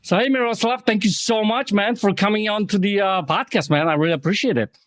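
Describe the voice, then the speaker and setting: A man's voice: high-pitched, a little fast, fairly bright tone. A man hosting a podcast, talking in an enthusiastic, informal way with fillers and repeated phrases. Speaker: podcast host. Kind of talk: podcast interview.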